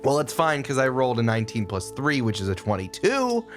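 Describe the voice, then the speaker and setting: A man's voice: medium pitch, wildly swooping pitch, brisk. A man playing a tabletop game, casually improvising narration of his character's moves in a playful way. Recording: music bed behind the voice.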